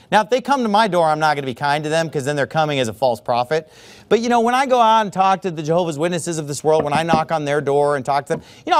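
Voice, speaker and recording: A man preaching with a high, lively voice that leaps around as he drives each point home. He is sarcastic and emphatic, and he bursts out loud on single words. He sounds like a middle-aged pastor delivering a sermon in a church.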